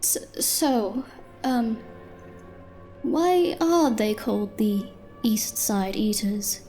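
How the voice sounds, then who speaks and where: A woman's voice: a little high, expressive, drawn-out words, dark tone, faint music behind. A woman playing a nervous, evasive diner cook with a hesitant, stammering manner in a noir audio drama. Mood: uneasy.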